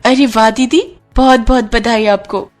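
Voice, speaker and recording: Woman voicing a sweet, eager, high-pitched young girl. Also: steady tone, unhurried, faint music behind, close to an everyday voice. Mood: eager, warm. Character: humble, eager young woman, a gifted mehndi artist. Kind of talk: Hindi cartoon story dialogue.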